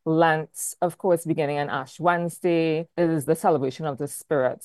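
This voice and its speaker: A woman's voice: low voice, a little mellow, no echo. A woman from Trinidad and Tobago, an art history professor thoughtfully explaining history in an interview, often saying "kind of, like". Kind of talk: academic interview answers.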